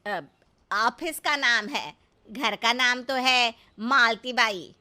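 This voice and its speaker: A woman's voice: fairly high pitch, takes it slowly, bright, forceful tone, full character voice. A woman playing a brash, naive older village mother-in-law in a comic cartoon story, scolding and literal-minded in a folksy way. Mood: naive, cheeky.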